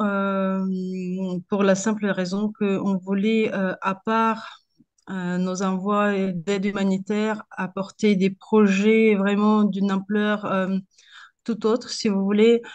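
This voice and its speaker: Slow-talking woman from Moldova, halting delivery. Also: mid-range voice, darkish tone. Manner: halting, searching for words. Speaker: guest speaker, association founder. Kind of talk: public debate interview, spontaneous speech.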